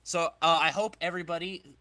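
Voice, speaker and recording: A man's voice: on the high side, steady tone, a little fast, pushed and bright, no room sound. A male podcast host chatting casually as he organizes a group reading.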